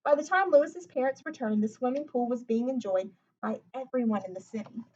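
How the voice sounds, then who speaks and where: A woman's voice: fairly high pitch, fairly quick, darkish tone, dull audio, slight background noise. A woman reading a children's picture book aloud expressively, breaking off for chatty asides to her listeners.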